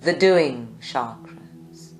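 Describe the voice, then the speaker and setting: Very slow woman guiding meditation. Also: very deep voice, expressive, darkish tone. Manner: measured, instructing, with long pauses between short phrases. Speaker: meditation guide. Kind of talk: guided meditation. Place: live group session indoors at a creativity centre. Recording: echoey room, music behind. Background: meditation music.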